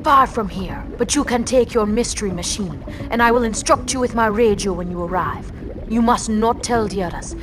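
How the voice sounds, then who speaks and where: A woman's voice: medium pitch, natural voice. Woman playing a mysterious, commanding swamp girl. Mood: instructing. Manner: measured, cryptic, formal phrasing. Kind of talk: cartoon video game voice acting. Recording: background music under dialogue.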